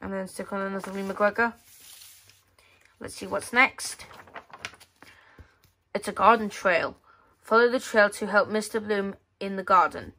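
A woman casually reads through a children's magazine, halting as she reads and adding offhand muttered asides. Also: mid-range voice, bright, forceful tone, a little hiss or hum. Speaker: magazine reviewer. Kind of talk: casual read-aloud walkthrough of a children's magazine. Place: indoors at a tabletop.

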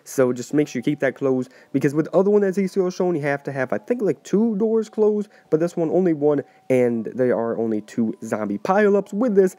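A man's voice: a little high, expressive, brisk, few pauses, mellow. A young man who is sick, casually narrating a video game glitch tutorial and repeatedly addressing viewers as "you guys". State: sick, voice a bit off. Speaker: gaming channel host.

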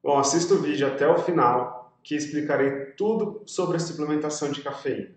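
A man's voice: a little high, brisk. A young man from Brazil, a sports nutritionist, explaining steadily to camera in a small room and checking in with his viewers as he goes. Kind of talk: educational video talk to camera. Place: small indoor room with pink lighting. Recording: very echoey room, distant mic.

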